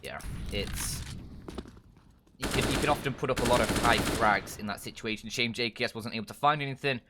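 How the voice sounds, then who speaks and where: A man casting an esports match live, play-by-play, rising to shouts at big plays. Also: medium pitch, fairly quick, very noisy.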